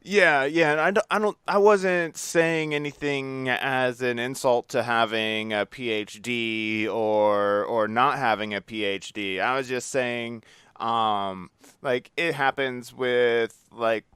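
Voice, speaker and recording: Male American podcast host, casual and tentative, very clear resonant voice, dry close recording. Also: mid-range voice, steady tone, slow, a bit bright, dry recording. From United States. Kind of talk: casual interview chat.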